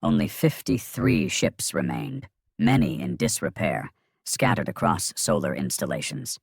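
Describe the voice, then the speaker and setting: A man giving a dramatic scripted narration of a science fiction story, grave and in clipped phrases with a gravelly, husky voice. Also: mid-range voice, dry recording, studio quality.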